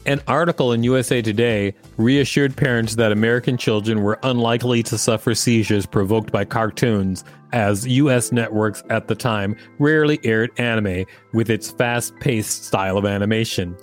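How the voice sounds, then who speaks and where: A man's voice: on the low side, fairly even intonation, normal speed, few pauses, faint music behind. Man co-host reading aloud with joking asides. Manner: dry, joking asides between read passages. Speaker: podcast co-host. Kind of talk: reading an article aloud with casual banter.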